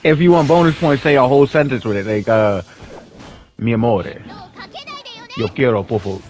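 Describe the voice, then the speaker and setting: A man's voice: medium pitch, steady tone, normal speed, darkish tone, faint music behind. A man bantering casually with friends in a foul-mouthed, teasing and playfully bossy way. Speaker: gamer chatting with friends. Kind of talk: casual joking chat.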